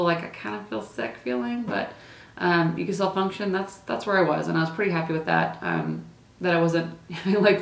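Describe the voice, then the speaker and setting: Chatty young woman, low gravelly voice. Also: echoey room. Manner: chatty, lots of fillers and "like". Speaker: running vlogger. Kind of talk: casual race recap to camera. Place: small indoor room, plain wall.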